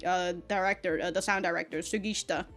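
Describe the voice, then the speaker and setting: A woman giving casual, off-the-cuff reaction commentary as a review host, rambling, slangy and animated, with some swearing. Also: on the low side, quick pace, soft background music.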